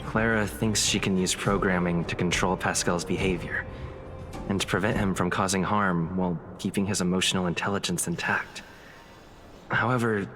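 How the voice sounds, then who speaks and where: Low-voiced, thoughtful young adventurer. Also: male voice, a bit bright, a little hiss or hum. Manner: measured, reflective inner monologue. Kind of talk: scripted video game narration. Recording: game music behind.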